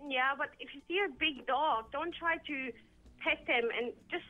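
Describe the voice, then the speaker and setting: A woman's voice: high voice, moderate pace, pushed and bright. A woman from Iran who grew up in Vienna, calling in to a radio phone show and talking frankly with lots of fillers. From Iran, raised in Vienna, Austria. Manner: chatty, frank, uses fillers. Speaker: caller. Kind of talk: phone-in call. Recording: tinny phone line.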